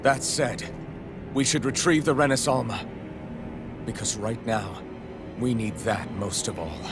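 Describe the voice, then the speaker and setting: An actor playing a determined young male swordsman hero who speaks earnestly and shouts battle cries. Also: medium pitch, a little slow, close to an everyday voice. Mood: serious. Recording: game audio with music behind.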